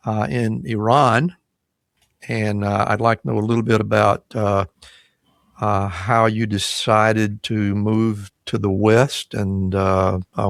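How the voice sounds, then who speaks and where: A man hosting a podcast interview, asking questions in a halting, hesitant way. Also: lowish voice, creaky, no room sound, studio quality. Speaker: podcast host. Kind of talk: conversational interviewing.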